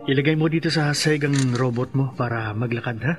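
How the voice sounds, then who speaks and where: An actor playing a doting father of a small boy in a radio horror drama, expressive and coaxing. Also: mid-range voice, a little fast, lightly acted. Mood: tender, cheerful. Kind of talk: radio horror drama acting, thinking aloud. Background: music.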